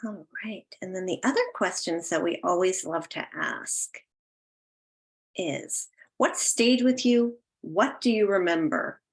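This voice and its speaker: A woman's voice: lowish voice, unhurried. A woman hosting a listening session, talking casually to participants. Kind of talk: announcing and casual event hosting.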